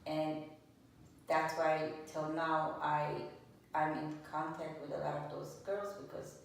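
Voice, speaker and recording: A woman in her sixties gives plainspoken, halting answers in an interview. Her voice is low and she takes her time. It was recorded from a distance in a heavily reverberant room. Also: completely flat delivery, gravelly. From Lebanon. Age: 60s. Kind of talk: oral history interview answers. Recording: echoey room, distant mic, faint noise.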